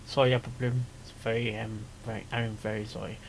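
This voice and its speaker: A man's voice: on the low side, level tone, fairly quick, vocal fry, heavy background noise. A man giving casual, off-the-cuff commentary, hesitant and rambling, often repeating his phrases. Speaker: reaction video host, amateur voice actor.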